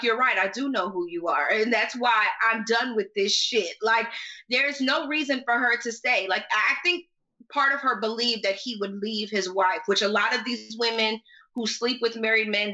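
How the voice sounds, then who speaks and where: A woman's voice: on the high side, sharp tone. A young American woman co-hosting a casual TV recap, talking in an emphatic, opinionated, conversational way over a video call. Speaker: podcast co-host. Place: small home room indoors. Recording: slightly dull video-call audio.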